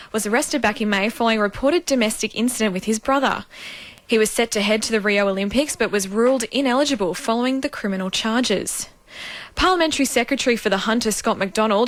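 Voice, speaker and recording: A woman's voice: fairly high pitch, fairly bright tone. A woman with an Australian accent reading a scripted radio news bulletin as the newsreader.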